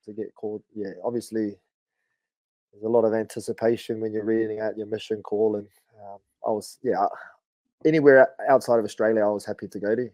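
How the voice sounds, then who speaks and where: A man's voice: fairly low pitch, a little fast, no room sound, low-quality recording. A man in his thirties with a New Zealand accent, telling stories at an easy pace in a casual podcast chat. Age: 30s. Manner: laid-back, relaxed storytelling with frequent "bro" and fillers. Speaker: guest, rugby league player.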